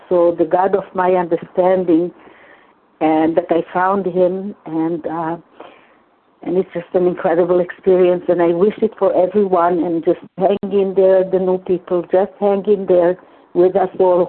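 An older woman whose first language is Hungarian, sharing in a recovery meeting in a halting, earnest way, often repeating words. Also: lowish voice. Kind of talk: personal share in a phone recovery meeting. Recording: tinny phone line.

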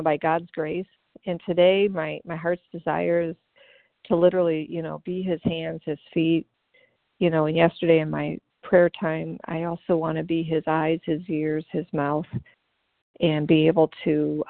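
Earnest woman, low gravelly voice, halting grateful share over a tinny dry phone line. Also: no echo. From Ohio, US. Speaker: meeting member sharing. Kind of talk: personal recovery share. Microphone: phone call.

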